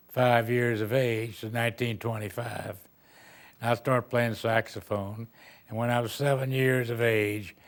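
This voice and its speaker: Older man from Texas, gravelly low voice, folksy rambling storyteller in an interview. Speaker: retired band director. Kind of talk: reminiscing interview answers.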